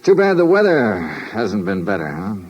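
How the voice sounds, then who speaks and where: A man's voice: on the low side, wildly swooping pitch, moderate pace, slightly rough, muffled recording, heavily acted. An actor playing a hard-boiled, world-weary American investigator who narrates his case in clipped, wry 1950s radio drama style. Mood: probing, casual. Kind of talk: 1950s radio detective acting. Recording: noisy vintage 1950s radio recording.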